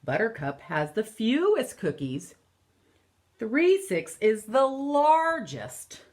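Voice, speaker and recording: A woman in her thirties, a teacher reading a picture book aloud to children and explaining it deliberately, with emphasis on key words, recorded in a room at home. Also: a little high, sing-song, a little mellow. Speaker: elementary teacher.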